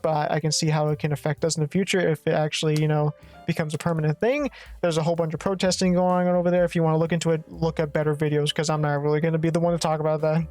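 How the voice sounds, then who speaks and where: Slightly raspy young adult ranting casually. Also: medium pitch. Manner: rambling, profane, self-correcting. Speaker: faceless gaming YouTuber. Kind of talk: casual rant to camera.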